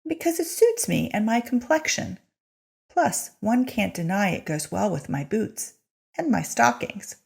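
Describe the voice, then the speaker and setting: Woman acting a self-assured storybook seamstress, indignant, emphatic, higher-pitched, husky. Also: expressive, measured pace, a little mellow, full character voice. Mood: proud, defensive. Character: proud, chatty young seamstress accused of witchcraft.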